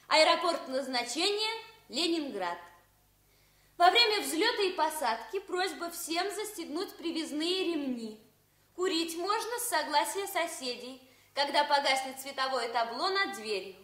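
A young flight attendant in a radio play. Her voice is high and set in an echoing space. She comes across as courteous and affectionate. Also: a bit bright. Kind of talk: Soviet radio drama acting. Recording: roomy, echoing radio drama sound.

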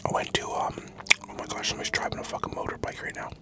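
A man in his thirties, an ASMR creator, whispering a loose, profane eating chat into a desk mic inside a parked pickup truck. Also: very fast. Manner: whispering, loose and profane, chewing between remarks. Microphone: large desktop mic in the cab, GoPro camera.

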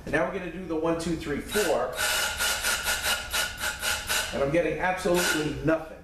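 Plainspoken mechanic in an echoey shop. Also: male voice, fairly high pitch, normal speed. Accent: New York. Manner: plainspoken, rambling with fillers, hands busy working. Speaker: transmission mechanic. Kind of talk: casual shop tutorial. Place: cluttered indoor repair shop. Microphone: camera mic, a few feet away. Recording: echoey shop, distant mic, faint hum.

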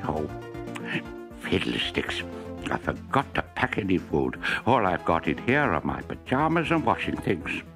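A man's voice: sits low, big pitch swings, a little slow, gravelly, over music, full character voice. A man voicing a cheerful, absent-minded professor in a storybook, stammering and exclaiming as he goes. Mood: peckish, annoyed.

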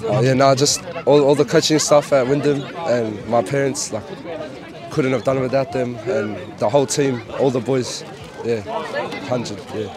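A teenage rugby player answering post-game questions outdoors into a handheld mic, casual and halting. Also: male voice, mid-range voice, steady tone. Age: teens. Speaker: vice captain. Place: outdoor sports field. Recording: loud crowd noise outdoors.

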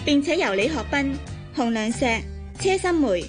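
A woman's voice: high-pitched, big pitch swings, moderate pace. A woman with a Hong Kong Cantonese accent reading scripted programme credits as a radio announcer. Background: music.

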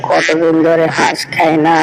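A man's voice: fairly high pitch, completely flat delivery, moderate pace, creaky, crowd noise, low-quality recording. A man voicing a sly, hungry, scheming male fox in a cartoon, wheedling and grumbling. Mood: sleepy, hungry. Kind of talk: cartoon voice acting.

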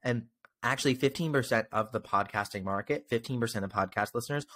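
A man's voice: medium pitch, quick pace, a bit bright. A man in his twenties hosting a casual interview, explaining things with enthusiasm into a close desk microphone. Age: 20s. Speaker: podcast host. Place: indoor home room. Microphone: close desk microphone on a video call.

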